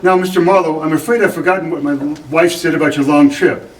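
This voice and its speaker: An actor playing a courteous, touchy amateur artist and husband in a radio drama, speaking a little stiltedly. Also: male voice, fairly high pitch, steady tone, fast talker, close to an everyday voice. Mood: flustered, curt. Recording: roomy, distant, noticeable background noise.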